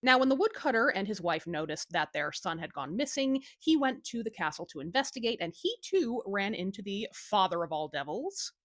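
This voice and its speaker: A woman tells a folktale with very lively, swooping pitch and an informal, cheeky delivery. Her voice is recorded close and dry, with little room around it. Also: moderate pace, hardly any pauses, no echo. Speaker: storyteller, host. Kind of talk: casual scripted folktale retelling.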